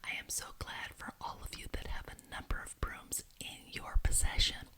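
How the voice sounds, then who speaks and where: A woman performing an ASMR roleplay as a genteel, officious witch, speaking in a breathy whisper and deliberately repeating words for emphasis. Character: genteel, officious witch chairing a neighborhood meeting.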